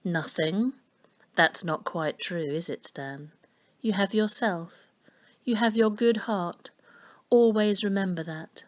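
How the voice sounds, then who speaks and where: A woman voicing a gentle, solemn fortune-teller in a storybook, murmuring slowly and knowingly. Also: mid-range voice, dull audio, natural voice. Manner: gentle, murmuring, slow and portentous. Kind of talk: children's storybook reading.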